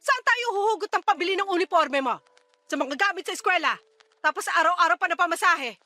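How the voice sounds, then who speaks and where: A woman's voice: very high voice, expressive, brisk, bright, forceful tone, no room sound, exaggerated voice. An actress playing a harsh, angry mother in a Filipino radio drama, scolding and insulting her child impatiently. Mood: dismissive, irritated.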